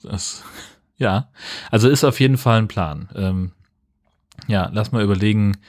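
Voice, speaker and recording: A man's voice: fairly low pitch, unhurried, darkish tone, dry recording, clean studio sound. A man co-hosting a podcast, chatting casually about films with wry, ironic agreement. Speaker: podcast co-host. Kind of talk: casual film review chat.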